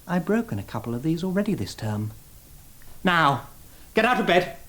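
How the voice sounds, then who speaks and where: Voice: medium pitch, sing-song, moderate pace, a bit bright, a little hiss or hum, poor audio. An actor playing a haughty, taunting public-school bully in a period radio drama, with an English accent. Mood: menacing.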